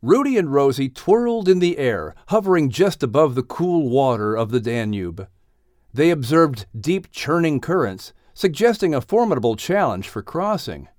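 Very animated male children's storyteller. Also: a little high, moderate pace, no room sound, clean studio sound. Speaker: announcer and audiobook narrator.

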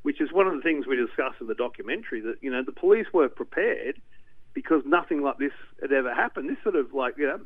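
Animated Australian man on a phone line. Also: mid-range voice, fast talker, breathy edge. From Newcastle, Australia. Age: middle-aged. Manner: animated, rambling with restarts. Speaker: guest, documentary director. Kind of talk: interview.

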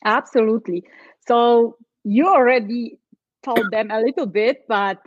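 A woman's voice: fairly high pitch, a little slow, no room sound. Polish woman, earnest and heartfelt, kinda muffled dry sound. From Poland. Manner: earnest, tells her story with feeling, acts out quoted voices. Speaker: guest, change and transition coach. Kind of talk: personal story in a podcast chat.

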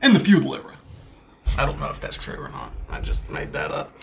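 A man's voice: mid-range voice, huge pitch swings, a little fast, gravelly, a bit bright, slight background noise. A man co-hosting a casual podcast, cracking self-mocking jokes. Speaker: podcast co-host. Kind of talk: casual chat.

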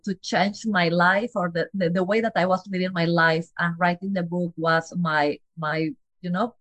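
A woman's voice: fairly low pitch, fairly bright tone, no echo. A woman from Peru in her forties with a Peruvian Spanish accent, a physician talking as a guest in an interview, laughing lightly and restarting phrases as she goes. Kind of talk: casual interview conversation.

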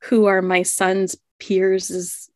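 A woman's voice: medium pitch, little pitch movement, drawn-out words, a little mellow, no echo, studio quality. Woman telling a story, laughing often. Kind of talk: casual conversation. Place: lives in Japan.

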